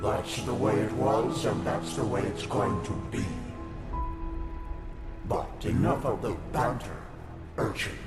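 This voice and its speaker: Menacing god villain for a game, pompous n slow, echoey, loud music behind. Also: male voice, on the low side. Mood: menacing contempt. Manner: pompous, deliberate, taunting. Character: contemptuous godlike male villain being, menacing and haughty. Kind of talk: dramatic game voice acting. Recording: echoing voice under loud music.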